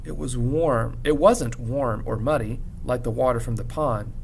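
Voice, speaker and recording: A man narrates a children's story in a classroom read-aloud style. His voice sits at a middle pitch. A noticeable low rumble runs behind the recording. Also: a little slow, a little mellow. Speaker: teacher reading a book aloud to students. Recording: clear background rumble noise.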